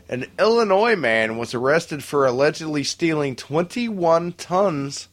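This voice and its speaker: Guy in his 30s hosting a crude chat, laughs a lot, slightly higher voice, takes his time. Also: a bit bright. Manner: laughs often, reads out news stories.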